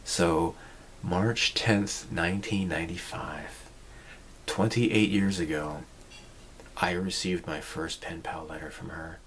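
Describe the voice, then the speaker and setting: A man in his forties speaks to camera in a relaxed, rambling way, circling back and restarting his thoughts. His voice sits low and he takes his time. A faint background hum sits under him. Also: takes it slowly. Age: 40s. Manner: rambling, reflective, many fillers and restarts. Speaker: channel host. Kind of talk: casual personal vlog talk.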